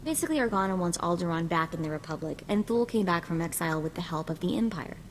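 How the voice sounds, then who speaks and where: An actress playing a chatty, cheerful young sidekick in a video game, talking in a casual, breezy way. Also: female voice, lowish voice, lively intonation, quick pace, a little hiss or hum, lightly acted. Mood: briefing, casual. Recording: background music under the voice.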